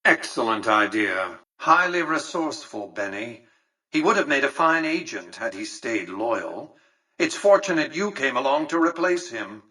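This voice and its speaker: Casting: older tycoon-ruler for a game, condescending and calculating, crisp forceful edge over a husky grain, slightly off-mic. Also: male voice, medium pitch, sing-song, unhurried, distant mic, exaggerated voice. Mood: dry, sardonic. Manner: pompous, erudite, precise, dryly sardonic. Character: imperious, calculating tycoon ruler of a casino city, condescending and dry. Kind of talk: video game character dialogue.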